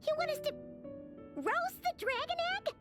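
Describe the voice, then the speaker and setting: A woman voicing a small, excitable female sprite companion in a game, bubbly and chatty. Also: very high voice, moderate pace. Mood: excited. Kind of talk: game cutscene voice acting. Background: game music.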